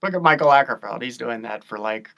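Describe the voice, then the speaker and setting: A man's voice: medium pitch, expressive, fast, gravelly, pushed and bright. A man guesting on a casual chat, thinking aloud with many restarts and fillers. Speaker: guest vocalist. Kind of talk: casual chat over a stream.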